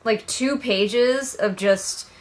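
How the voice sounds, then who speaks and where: A woman giving casual, sarcastic read-along commentary as a book reviewer, with animated, mocking emphasis. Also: on the high side, very slow.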